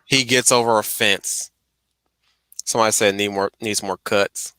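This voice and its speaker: A man's voice: lowish voice, fairly even intonation, moderate pace, sharp tone, clean tone, no echo. A man chatting casually on a livestream as he reacts to a video, often repeating words as he finds his way. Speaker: stream host.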